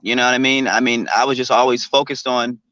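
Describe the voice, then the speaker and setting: A man's voice: mid-range voice, flat delivery, fast talker, bright, forceful tone, dry recording. A forty-year-old man from Texas, a musician guest chatting casually and often asking "you know what I mean". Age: 40s. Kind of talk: casual interview chat. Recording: dull lo-fi dry sound.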